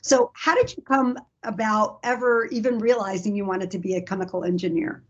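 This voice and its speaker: Woman moderator, breathy voice, encouraging questions, dull call sound. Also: mid-range voice, fairly quick. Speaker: panel moderator. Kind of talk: moderating a panel.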